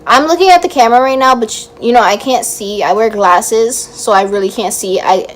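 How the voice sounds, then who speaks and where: A woman's voice: fairly high pitch, brisk, a little hiss or hum, poor audio. Chatty young woman with a cracking voice. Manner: chatty storytelling, laughs at own jokes. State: losing her voice, cracking. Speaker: vlogger. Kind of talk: casual chat to camera.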